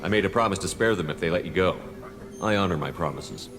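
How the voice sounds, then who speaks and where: A firm, principled commander acted by a man from a video game. He has a low voice and a quick, controlled delivery, with long reverb and music behind him. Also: natural voice. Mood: reassuring. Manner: firm, plain-spoken, controlled. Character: steady, principled male military commander.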